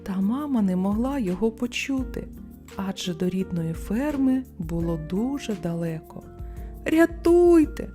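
A woman narrating a children's fairy tale in an expressive bedtime-story manner. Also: mid-range voice, faint music behind. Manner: expressive, unhurried bedtime reading.

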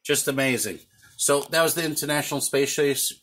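A man's voice: mid-range voice, brisk. A man giving casual, off-the-cuff commentary as a radio hobbyist, recorded on a handheld camera indoors at home. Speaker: amateur radio hobbyist filming his set. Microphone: handheld camera or phone, behind the lens. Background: loud radio noise.

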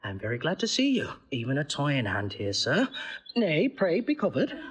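A playful, bawdy court jester with a husky, slightly high voice. His pitch leaps and dips as he mocks and jokes. He plays it broad and theatrical, like a stage clown. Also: wildly swooping pitch, moderate pace, full character voice. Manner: mocking, theatrical, wide swings in pitch. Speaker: actor. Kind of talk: stage-play acting.

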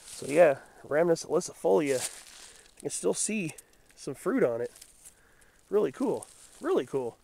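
Raspy man with a head cold. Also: a little high, measured pace, pauses often. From Southern California. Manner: musing, relaxed botanical naming. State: getting over a head cold, deeper and raspier than usual. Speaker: nature vlogger. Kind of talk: casual walking commentary. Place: outdoors in a mountain forest before dawn. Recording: outdoor camera audio with background noise.

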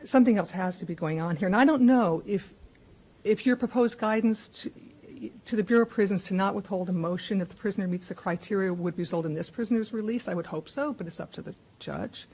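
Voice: medium pitch, fast, darkish tone, rough recording. A witness at a public hearing, earnestly reading a prepared account with small restarts. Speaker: advocacy group witness. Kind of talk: formal prepared testimony. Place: hearing room.

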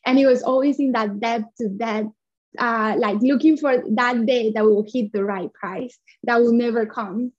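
Woman from Colombia, fairly high voice, earnest, muffled video call audio. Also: steady tone, moderate pace, darkish tone. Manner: earnest, talks with her hands. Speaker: guest, coffee company founder. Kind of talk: remote interview. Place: small bright room at home.

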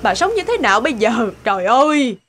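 A woman's voice: fairly high pitch, very animated, fairly quick, a little hiss or hum. A woman voicing an earnest, emotional elderly woman in a cartoon family drama. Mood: distressed. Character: earnest, outspoken elderly neighbour and gentle old mother. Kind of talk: animated drama voice acting.

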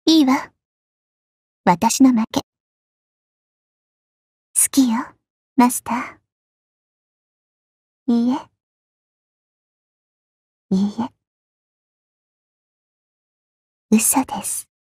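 A woman playing a petite, elegant, coy and haughty goddess in a mobile game, speaking softly with refined, condescending politeness. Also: on the high side, drawn-out words, long pauses, no room sound, clean studio sound, heavily acted. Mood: coy teasing, then tender, coy. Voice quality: soft, breathy. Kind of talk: Japanese mobile game character voice lines.

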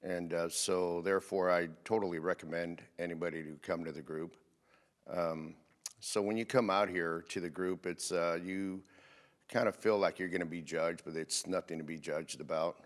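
A middle-aged man giving casual, plainspoken testimony, halting with many filled pauses, into a handheld microphone. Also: deep voice, fairly even intonation. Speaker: recovery group leader giving testimony. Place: indoors, dim church stage.

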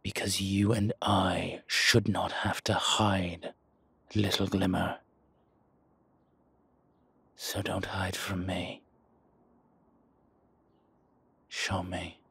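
An actor playing a commanding, teasing, protective dragon mob boss in an intimate audio drama, with a husky, breathy voice and deliberate delivery. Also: male voice, low-pitched, flat delivery, fairly bright tone, no room sound, exaggerated voice. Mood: tender, proud.

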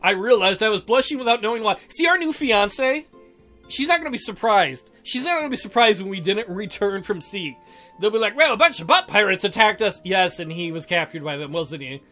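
High, bright-voiced male let's-player. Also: expressive, fairly quick. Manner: jokey, theatrical, breaks into silly mock voices. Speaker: let's-play host. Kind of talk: reading a visual novel aloud with joking commentary. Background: game music.

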